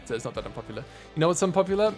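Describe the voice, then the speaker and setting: A man hosting a livestream, chatting casually with viewers in an animated, joking, sweary way. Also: on the high side, racing, soft background music. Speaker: livestream host, voice actor. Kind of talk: casual chat with viewers, reading comments.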